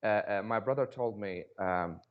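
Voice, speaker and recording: A low-pitched man from Iraq talks casually as an interview guest. He tells his story in a hesitant way, doubling back on words as he looks for the next phrase. The audio is a little dull and lo-fi. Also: moderate pace. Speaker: podcast guest, cosmetic dentist.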